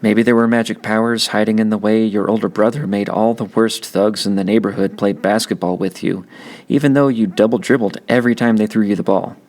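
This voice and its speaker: Man, audiobook narrator, fairly low pitch, creaky gravelly edge, scripted storytelling. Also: fairly even intonation, brisk, a little mellow. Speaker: audiobook reader. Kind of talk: scripted narration of a children's novel.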